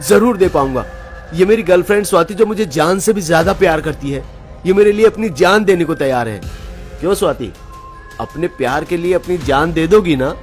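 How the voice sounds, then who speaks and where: Man acting a coaxing, scheming boyfriend, raised pitch. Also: animated, brisk, close to an everyday voice. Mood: eager, pleading. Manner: coaxing, theatrical. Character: scheming young boyfriend, smooth-talking and sinister. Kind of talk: dramatized horror cartoon story. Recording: loud music behind the voice. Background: music.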